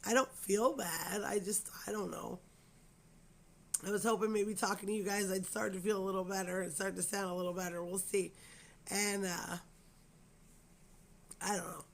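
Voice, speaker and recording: A woman hoarse from a cold, her voice raspy and scratchy. She talks to her viewers at home in a loose, rambling way, full of fillers and apologies. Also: mid-range voice, steady tone, moderate pace, pauses often. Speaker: crochet channel host. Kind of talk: casual chat to camera.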